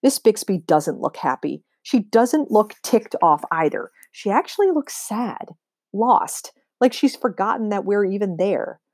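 A woman reading a children's novel aloud with expressive, emphatic storytelling. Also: medium pitch, a little slow, no room sound, studio quality. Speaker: read-aloud reader.

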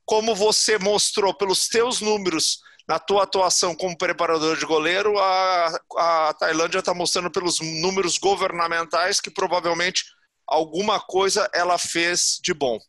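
A man's voice: high voice, steady tone, moderate pace, pushed and bright, no room sound. A Brazilian man hosting a podcast interview, often affirming his guest and ending phrases with tag questions. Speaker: podcast host.

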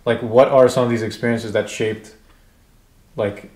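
A man interviewing someone in a casual, tentative way, easing gently into his questions. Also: mid-range voice, fairly even intonation, measured pace. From Bangladeshi background. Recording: roomy echo.

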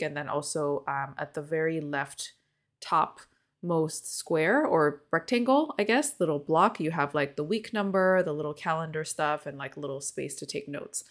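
Young woman co-host, Korean native speaker, lowish voice, detailed and chatty, lots of fillers. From South Korea. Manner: explains in detail with many fillers. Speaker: podcast co-host. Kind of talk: casual chat.

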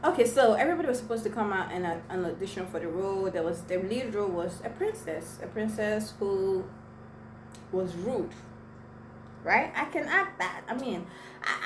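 A young woman whose first language is Igbo, chatting to viewers as she tells a personal story with many fillers. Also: mid-range voice. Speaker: vlogger. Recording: echoey room, mic at a distance, noisy.

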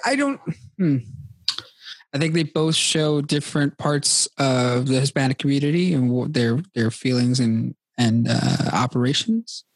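A man's voice: medium pitch, expressive, unhurried, no room sound. A man from Queens with a New York accent, hosting a casual, slangy podcast chat. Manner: laid-back, slangy, swearing. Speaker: podcast host.

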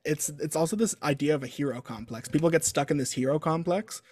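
A young adult man talking casually as a guest author, halting and full of fillers and restarts. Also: a little high, darkish tone, no echo. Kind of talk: casual interview chat.

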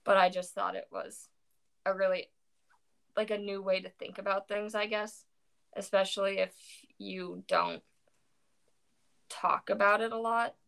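A young woman talks through poems in a loose, rambling way, full of "like" and restarts. Her voice has a gravelly creak, and she sounds worn out after a long day. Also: mid-range voice, steady tone, a little slow, long pauses, a bit bright. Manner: rambling, many fillers, self-corrections. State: tired. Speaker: co-host discussing poetry. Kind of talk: casual chat.

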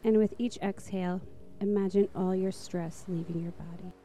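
A woman narrating a guided meditation in a soft, slow, soothing manner. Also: mid-range voice, fairly even intonation, faint music behind.

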